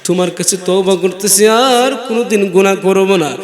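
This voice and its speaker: Very high-pitched pleading male preacher, echoing. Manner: pleading, wailing, drawn-out cries. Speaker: preacher leading congregational prayer. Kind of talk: emotional supplication sermon, half-chanted. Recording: echoey hall, long reverb, loudspeaker sound.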